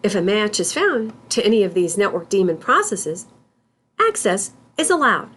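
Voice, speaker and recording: A woman instructor reading scripted tutorial narration in a deliberate, step-by-step manner. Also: mid-range voice, sing-song, fairly bright tone, husky. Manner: deliberate, spells out terms symbol by symbol.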